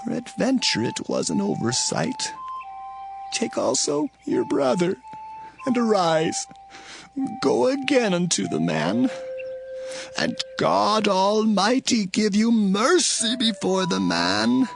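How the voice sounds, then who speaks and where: An actor playing a grieving, weary old father in a dramatized scripture reading, speaking slowly and mournfully with a gravelly voice. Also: high-pitched, animated, music in the background, full character voice. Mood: resigned. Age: older. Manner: slow, mournful, sorrowful delivery.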